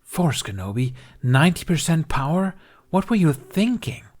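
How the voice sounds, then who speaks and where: A man's voice: on the high side, very animated, moderate pace, a little mellow, voice pushed up. A man voicing a stern alien woman healer from an audiobook story, blunt, scolding and exasperated. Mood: angry scolding.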